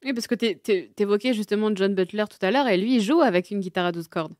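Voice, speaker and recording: A woman radio host asks a musician casual, chatty questions. Her voice sits at an ordinary pitch, and the studio around her is dry and close. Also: fast talker, a little mellow, no room sound. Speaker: radio interviewer.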